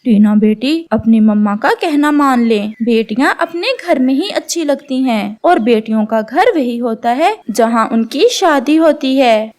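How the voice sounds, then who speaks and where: A woman's voice: sits high, lively intonation, a little slow, hardly any pauses, a little mellow. A woman voicing a persuasive, motherly pigeon aunt in a children's cartoon, earnest and coaxing.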